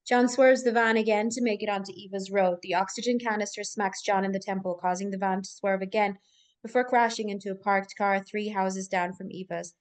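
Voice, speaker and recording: A woman's voice: medium pitch, fairly even intonation, a little fast. A woman reading scripted stage directions as narrator at a live table read, in full descriptive sentences.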